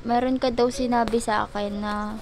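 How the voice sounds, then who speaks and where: A woman's voice: on the high side, little pitch movement, moderate pace. A young woman with a Filipino accent chatting casually as a playful prank caller, with noise behind her. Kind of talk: casual phone chat.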